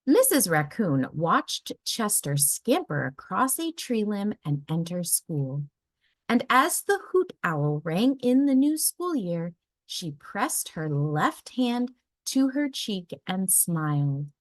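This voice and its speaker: A woman narrating a children's storybook as a read-aloud, with careful, expressive delivery. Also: medium pitch, slow pace, no room sound.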